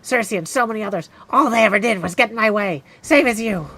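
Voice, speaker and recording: A man's voice: high voice, a little fast, bright, forceful tone, a little hiss or hum, voice pushed up. A man voicing a boastful, resentful female siren singer from a video game, brash and emphatic.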